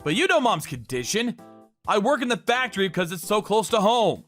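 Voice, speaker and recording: Man playing a high, indignant older brother. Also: sing-song, moderate pace, fairly bright tone, faint music behind. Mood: defensive. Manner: firm, exasperated. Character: indignant, protective working-class older brother.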